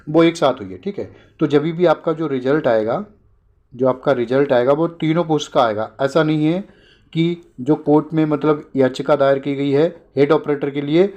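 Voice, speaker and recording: Higher-pitched man explaining updates. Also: a little mellow. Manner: repetitive, asks short questions and answers them himself. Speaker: host of an exam updates channel. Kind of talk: informal explainer of exam and court news.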